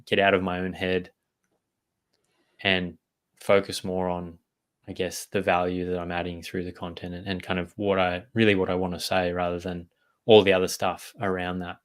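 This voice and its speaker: Deep, flat-voiced man, measured. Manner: measured and reflective. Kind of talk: live conversation.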